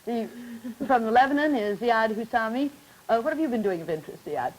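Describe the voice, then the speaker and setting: A woman moderating a 1950s television discussion, asking students brisk, polite questions on old television audio. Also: fairly high pitch, lively intonation, poor audio.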